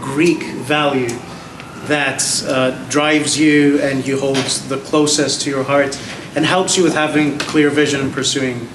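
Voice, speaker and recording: A man hosting a gala interview, asking polite, measured questions. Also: mid-range voice, flat delivery. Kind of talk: formal onstage interview. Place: large gala hall. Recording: echoey hall, heard from far off, noisy.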